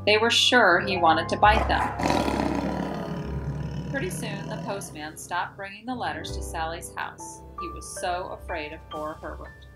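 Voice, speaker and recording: A woman's voice: fairly low pitch, rough recording. Woman reading a children's storybook over music. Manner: deliberate storytelling with emphasised, drawn-out key words. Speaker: kindergarten teacher reading a picture book aloud. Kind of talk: children's storybook read-aloud. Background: instrumental music.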